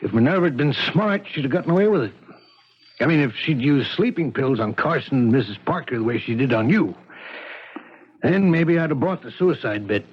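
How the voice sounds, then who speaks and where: An actor playing a modest, shrewd police detective in a 1970s radio drama, explaining things in a casual, matter-of-fact way. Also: male voice, mid-range voice, lively intonation, fairly quick, breathy edge. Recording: dull 1970s radio broadcast recording.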